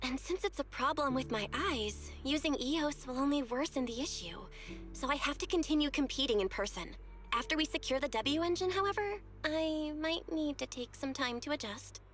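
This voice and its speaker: A woman's voice: sits high, expressive, moderate pace, a bit bright, soft background music. An earnest young proxy girl. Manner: earnest, anxious. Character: earnest young woman proxy, determined and worried.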